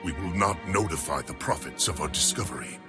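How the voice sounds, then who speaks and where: A man's voice: low-pitched, fairly quick, close to an everyday voice. A man playing a grave, proud alien commander in a sci-fi game cutscene, solemn, formal and commanding. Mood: grave, resolute. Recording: music under the voice.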